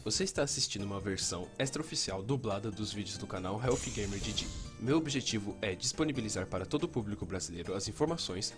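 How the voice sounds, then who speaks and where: A Brazilian man reading a scripted introduction and disclaimer as the presenter of a channel. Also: on the low side. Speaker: fan-dub channel presenter. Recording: voice over clear background music. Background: music.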